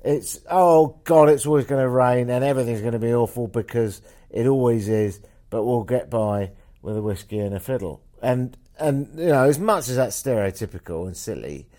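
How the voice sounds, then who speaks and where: A man's voice: mid-range voice, animated, moderate pace, hardly any pauses, dark tone. A man in casual conversation, teasing and wry, painting pictures for his listener. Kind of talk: casual chat.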